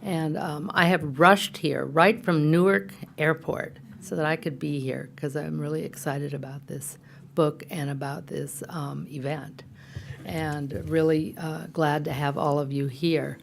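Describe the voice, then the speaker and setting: A woman's voice: sits low, fairly even intonation, measured pace, gravelly, a little hiss or hum. A woman hosting an event, giving an informal, hesitant welcome with joking asides to an audience. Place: public event venue.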